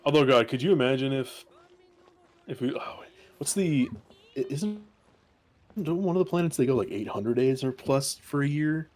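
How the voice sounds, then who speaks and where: A man's voice: mid-range voice, animated, moderate pace, pauses often, darkish tone. A young man in his twenties co-hosting a live stream, joking casually, laughing often and trailing off mid-sentence. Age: 20s. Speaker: stream co-host. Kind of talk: casual chat.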